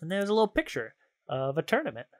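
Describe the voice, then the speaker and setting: A man's voice: fairly high pitch, huge pitch swings, fast. A man hosting a podcast in casual chat, playful and jokey, reading out trivia cards and often amused. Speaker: podcast host and quizmaster.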